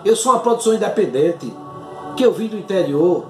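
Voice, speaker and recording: Older man, Northeastern Brazilian accent, high-pitched, earnest pleading doctor, roomy webcam sound with faint music. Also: lively intonation, a little fast, warm tone. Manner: earnest, pleading, gestures broadly with both hands. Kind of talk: impassioned direct-to-camera appeal. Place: small home office, indoors.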